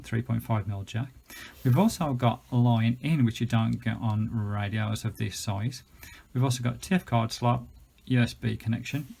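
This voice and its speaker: A man's voice: medium pitch, creaky, darkish tone, slightly rough. A British man giving a chatty, enthusiastic, unscripted gadget review, explaining things as he goes. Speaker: product reviewer.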